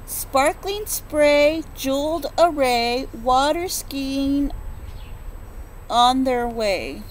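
A preschool teacher in her forties with a high voice reads a rhyming picture book at an unhurried pace. She pauses to ask the children gentle questions. She was recorded close to a phone on an outdoor porch, with faint birdsong behind her. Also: expressive, a little hiss or hum, rough recording. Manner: slow rhythmic rhyme reading with gentle questions to children. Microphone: phone or laptop camera at close range.

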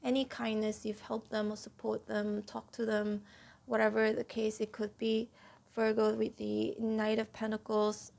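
A woman giving a casual tarot reading at a table, talking straight to her viewers, a little stuffy and clearing her throat, often checking in with "okay?". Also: fairly high pitch, completely flat delivery, unhurried, darkish tone. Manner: chatty, repeats "okay?" to viewers, stresses key words. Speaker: tarot reader. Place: indoors at a table, home room.

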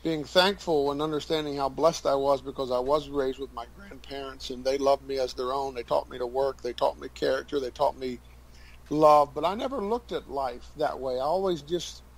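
A man in his forties with a Kentucky accent, a radio interview guest telling his life story in an earnest, halting way with many fillers. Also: medium pitch, gravelly, low-quality recording. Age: 40s.

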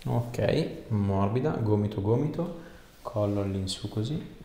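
Male chiropractor, 30s, lowish pitch, informal reassuring instructions, roomy sound with faint noise. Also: expressive, a little slow, warm tone. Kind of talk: casual hands-on instruction to a patient during treatment. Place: small treatment room, indoors. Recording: echoey small room, background noise.

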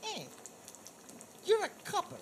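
A high, swooping voice for a talking liquor bottle , acted by a woman. The sidekick is cocky and taunting, drawing out threats in radio-comedy style, with faint noise behind. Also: huge pitch swings, slow pace, full character voice. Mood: threatening. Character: talking Campari bottle, cackling mobster sidekick.

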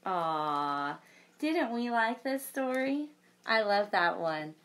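A woman in her thirties reading a picture book aloud to children, expressive, with chatty asides to young listeners. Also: medium pitch, slow. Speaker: library story time presenter.

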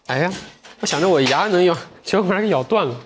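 A man hosting a livestream sale, with a voice pitched a little high for a man. He jokes about himself, chats easily with viewers, and moves into thoughtful musings. A faint hiss sits under the studio sound. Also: lively intonation, quick pace. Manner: playful, self-mocking, talking to viewers while eating. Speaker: livestream sales host. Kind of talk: livestream selling with reflective asides. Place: livestream studio set with shelves and a table.

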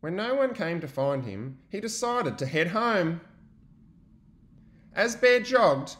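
A man giving an expressive, child-directed storybook read-aloud, like a teacher, with chatty asides. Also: on the high side.

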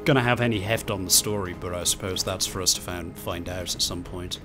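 A man's voice: sits low, a little fast. A man playing a co-op video game, chatting casually and jokingly with friends. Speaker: gamer in a co-op playthrough. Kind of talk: casual chat while gaming. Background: game music.

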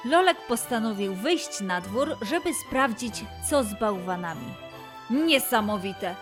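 A woman narrating a scripted children's story in an expressive way, with dramatic pauses and emphasis. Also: a little high, over music.

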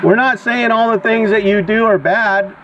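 A high-voiced man preaches in the open air through a megaphone, exhorting in a declamatory protest style. The recording is dull, with faint to clear outdoor traffic noise behind him. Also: normal speed. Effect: megaphone amplification. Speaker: street preacher and activist. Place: outdoors on grass beside a sidewalk and parking lot. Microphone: action camera beside the megaphone.

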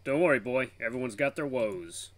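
A man's voice: medium pitch, expressive, moderate pace, no echo, lightly acted. A man voicing a hearty, folksy tiger in his fifties with a British accent, in a visual novel read-aloud. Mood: friendly. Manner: folksy, hearty, calls people lad and mate. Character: cheerful chatty tiger man in his fifties, war refugee.

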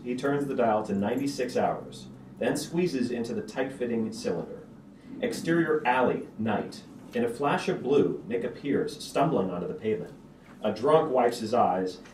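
A man reading screenplay stage directions aloud as narrator at a table read. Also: mid-range voice. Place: stage of a small auditorium. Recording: roomy auditorium, distant mic, background noise.

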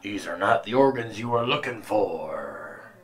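A man playing a cocky, deadpan oddball who speaks of himself in the third person, in a comedic audio drama. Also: on the low side, unhurried. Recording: noticeable background noise.

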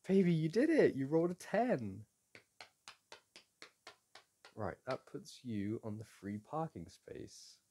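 A man playing a playful boyfriend in an intimate audio roleplay, chatting casually, teasing and coaxing the listener in a gentle, halting way. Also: mid-range voice, big pitch swings, slight background noise. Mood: excited. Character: playful, teasing, soothing boyfriend at a board game.

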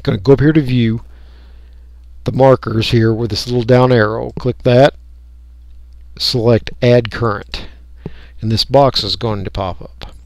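An American man giving a casual, unscripted software walkthrough, folksy and rambling as he thinks aloud. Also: mid-range voice, fairly even intonation, a little slow, no echo. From United States. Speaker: radio hobbyist giving a software tutorial.

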